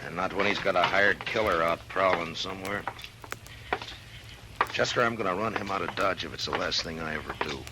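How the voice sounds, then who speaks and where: An American man acting a stern, weary frontier marshal in 1950s radio drama, terse and forceful. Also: deep voice, brisk, very noisy, lightly acted. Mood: grim, frustrated. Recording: vintage radio recording with music.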